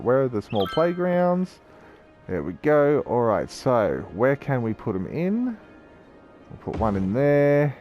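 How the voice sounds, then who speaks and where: A young man, croaky from a cold, giving casual rambling commentary as he plays a city-building game. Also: mid-range voice, darkish tone, dull audio. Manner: chatty, rambling, self-correcting. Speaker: gaming YouTuber.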